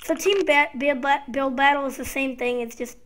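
A child or young teen hosting a casual gaming video with live gameplay commentary, sometimes slightly raspy. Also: sits high, steady tone, moderate pace, fairly bright tone. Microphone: gaming headset.

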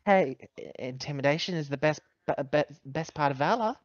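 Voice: medium pitch, animated, brisk, no room sound, lightly acted. Player doing a sarcastic woman space captain, grudging and informal, tabletop game, kinda muffled dry mic. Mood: defiant.

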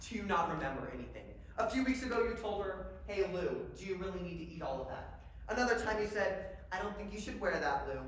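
A guilt-ridden young man mourning a lost friend, played by a young actor on stage, his lively, quick lines pleading and raw. He is caught from far back in the seats, and the sound rings through the whole big hall. Audience noise hums clearly underneath. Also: high-pitched. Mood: accusing. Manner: pleading, emotional delivery. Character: grieving young man who blames himself. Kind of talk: live stage drama. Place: school auditorium stage. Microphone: far audience camera.

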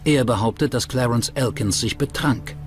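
A man's voice: medium pitch, moderate pace. A man reading scripted narration for a true-crime documentary. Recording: music under the voice. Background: music.

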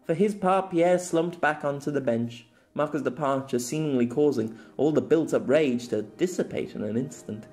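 A man's voice: on the high side, moderate pace, hardly any pauses, a little mellow, soft background music. Man narrating a fiction story.

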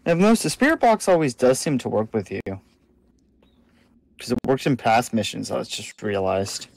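A man playing a game with a friend, explaining things in a relaxed, chatty way. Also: medium pitch, normal speed, creaky, rough recording. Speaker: co-player. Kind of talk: casual gaming chat.

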